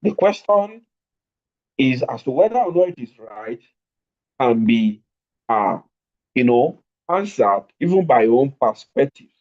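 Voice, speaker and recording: A man lecturing a law class online, stretching out key words with deliberate emphasis. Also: medium pitch, very animated. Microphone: remote call over network. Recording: dull lo-fi online audio.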